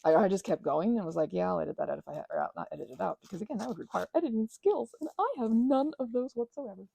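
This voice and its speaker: A woman host chatting casually in a meandering, self-deprecating ASMR craft ramble, with a creaky voice, often trailing off mid-thought. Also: medium pitch, expressive, racing, warm tone.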